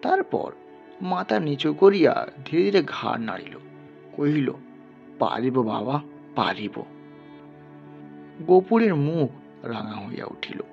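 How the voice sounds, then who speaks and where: A man's voice: on the high side, very animated, a little slow, creaky, dull audio. A man reading a literary story aloud as dramatic narration. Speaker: story reader. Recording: band-limited, music underneath.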